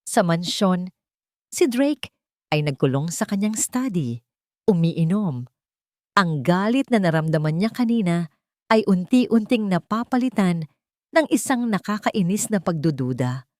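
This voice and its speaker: A woman narrates a romantic drama in a low, very lively voice. Her phrasing is solemn and emotive, and the sound is dry and close. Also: unhurried, long pauses, dry recording. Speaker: storyteller, narrator. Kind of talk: dramatic scripted story narration.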